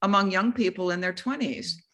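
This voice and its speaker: A woman's voice: medium pitch, moderate pace, a bit bright. A woman moderating a panel discussion who talks in a discursive, editorializing way, with many restarts as she builds her questions.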